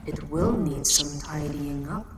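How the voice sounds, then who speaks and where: Low, slow, echoing giant monster queen, acted by a woman. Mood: patient, matter-of-fact. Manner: measured, matter-of-fact, slightly formal speech. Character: giant female kaiju queen, ancient, regal, calm and protective. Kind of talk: scripted roleplay audio drama monologue. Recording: very echoey cavern-like reverb, loud background noise.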